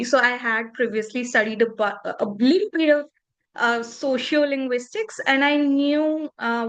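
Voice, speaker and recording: A woman's voice: fairly high pitch, fairly bright tone, rough recording. A woman around thirty, a native Punjabi speaker from northern India, talking earnestly and reflectively as a podcast guest. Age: 30s. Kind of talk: casual interview conversation.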